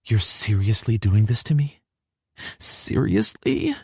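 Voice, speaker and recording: A man's voice: fairly low pitch, wildly swooping pitch, slow pace, gravelly, a little mellow, husky, dry recording, clean studio sound, pitched above the performer's own voice, full character voice. A man voicing a flustered, teasing young woman who is feeling sick, in an audiobook story. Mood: indignant.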